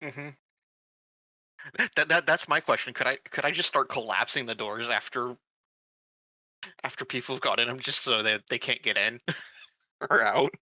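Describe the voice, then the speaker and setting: A man's voice: medium pitch, normal speed, pauses often, bright, forceful tone, no echo, dull audio. A man talking casually as a player in a tabletop roleplaying game.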